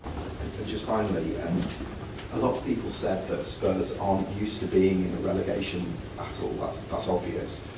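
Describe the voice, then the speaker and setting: A male journalist asking measured questions at a press conference. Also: low-pitched, fairly even intonation. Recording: roomy, distant mic, noisy.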